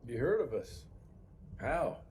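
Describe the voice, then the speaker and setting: An actor playing a hard-bitten, defiant Wild West monster hunter in a video game, with an American accent and a terse, commanding manner. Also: male voice, medium pitch, sing-song, slow, background noise. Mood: weary, curt. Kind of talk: video game cutscene acting.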